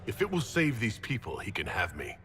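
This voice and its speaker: An actor playing a selfless, decisive hero and leader in a fantasy game. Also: male voice, fairly low pitch, huge pitch swings, a little fast, bright, forceful tone, in a large hall, a little hiss or hum, full character voice. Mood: resigned resolve.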